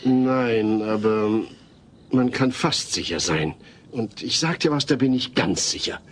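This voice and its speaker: A man's voice: lowish voice, unhurried. A man acting a patient, worried middle-aged father who explains and reassures in a sitcom audio play. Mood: patient reassurance. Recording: noisy old cassette audio play.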